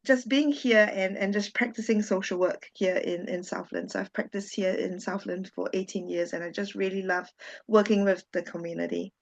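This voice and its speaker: A woman from Singapore, a social worker, leading a friendly webinar in a chatty, warm way with frequent ums and small laughs, over a video call. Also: mid-range voice, fairly even intonation, moderate pace. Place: home. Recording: dull lo-fi video call audio.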